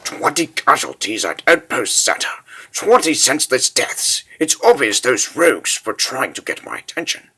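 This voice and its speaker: A man's voice: medium pitch, big pitch swings, normal speed, sharp tone, slightly rough, full character voice. A man playing a stern, burdened king in a comic dub, speaking with formal command. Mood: grave. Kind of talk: dramatic comic dub acting.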